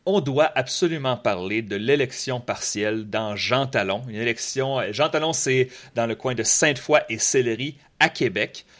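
A man's voice: mid-range voice, normal speed, few pauses. Chatty Quebec French man hosting. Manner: relaxed, talkative, repeats words when searching. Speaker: podcast host, political columnist. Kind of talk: casual political podcast chat. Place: in Quebec City.

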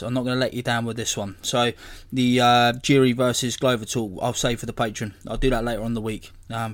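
A hoarse man, hosting a casual solo podcast and rambling as he corrects himself. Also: on the low side, fairly bright tone. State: hoarse from illness. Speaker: podcast host.

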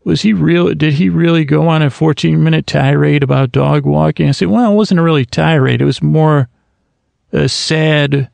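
A man hosting a sleep podcast, rambling in a meandering, soft-spoken bedtime monologue that keeps interrupting itself. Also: on the high side, fairly even intonation, moderate pace, creaky, no echo.

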